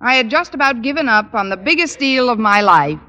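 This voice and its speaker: An actress playing a wry, quick-witted schoolteacher with dry, sardonic delivery in a 1950s radio sitcom. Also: female voice, fairly high pitch, lively intonation, bright, forceful tone, lo-fi sound. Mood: wry narration. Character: wry, quick-witted woman high school English teacher. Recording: noisy old radio recording, studio audience.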